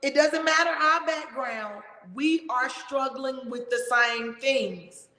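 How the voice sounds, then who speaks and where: A woman with a big, loud, somewhat high voice hosts a casual talk show with emphatic, expressive delivery. Her sound rings around a roomy space with a long, trailing reverb. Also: slow. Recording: echoey room with long reverb.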